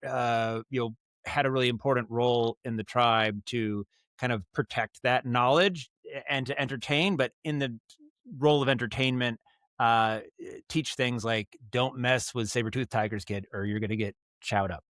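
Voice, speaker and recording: A man with a medium-pitched voice speaks as a podcast guest, explaining his craft. He is casual and thoughtful, often circling back and rewording as he goes. The sound is dry, with little room in it. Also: steady tone, moderate pace, fairly bright tone, no room sound. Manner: rambling, thoughtful, frequent self-corrections and hedging. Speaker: podcast guest, audio storyteller and director.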